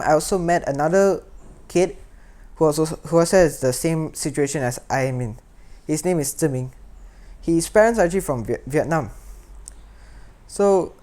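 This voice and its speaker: A man's voice: a little high, a little mellow. A teenage boy with a Singaporean accent, earnestly sharing his own story and often restarting or repeating words. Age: teens. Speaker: secondary school student. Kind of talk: personal talk to camera.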